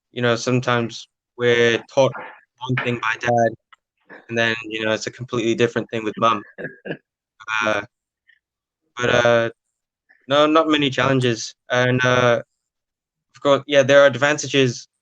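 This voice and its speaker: Hesitant nineteen-year-old guy, crisp dry voice. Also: medium pitch, a bit bright, no room sound, dull audio. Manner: hesitant, many filler sounds. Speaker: podcast guest, young footballer. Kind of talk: casual interview answers.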